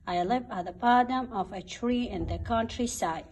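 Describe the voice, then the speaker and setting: A woman's voice: on the high side, moderate pace, darkish tone, a little hiss or hum, close to an everyday voice. A woman voicing a modest, timid little country mouse from a storybook, in a simple, careful way. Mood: content.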